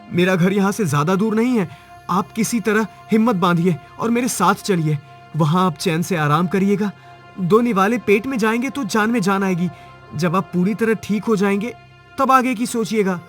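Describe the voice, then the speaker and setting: Man voicing a high-pitched, earnest village husband. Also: natural voice. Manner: earnest, pleading, emphatic. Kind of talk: Hindi moral cartoon story acting.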